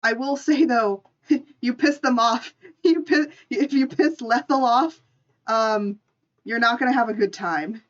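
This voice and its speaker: A woman's voice: on the high side, unhurried, muffled recording. A woman streamer casually telling an improvised story and chatting with her live audience in an informal, chatty way. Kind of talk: casual livestream storytelling and chat.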